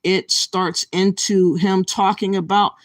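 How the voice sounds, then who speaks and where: A woman's voice: mid-range voice, fairly even intonation, slow pace, dry recording, clean studio sound. A woman hosting a reaction commentary, ranting indignantly and calling her viewers "y'all.". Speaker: commentary channel host.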